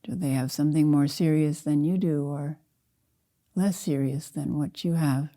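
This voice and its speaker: An older woman, a meditation teacher, leading a guided meditation in a measured, deliberate way, in short phrases with pauses. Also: low voice, steady tone, warm tone. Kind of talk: slow guided meditation narration.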